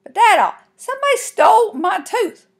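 A woman voicing a dramatic, quick-tempered little country girl in a children's storybook, with emphatic, indignant delivery. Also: mid-range voice, lively intonation. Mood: upset, shouting. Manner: emphatic, exclamatory, homespun country phrasing. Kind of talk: folksy children's storybook reading.

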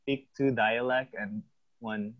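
A man's voice: medium pitch, steady tone, slow. Chatty young man on a lo-fi call. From Philippines. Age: 20s. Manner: chatty, laughs a lot, many "like" fillers. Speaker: channel co-host. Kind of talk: casual video-call chat. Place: home room, indoors. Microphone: video call webcam. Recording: slightly dull lo-fi call audio.

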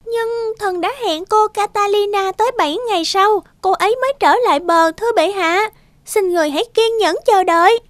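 A woman's voice: extremely high pitch, a little fast, no echo. A woman voicing a small, loyal talking dove messenger in a cartoon fairy tale, earnest and respectful.

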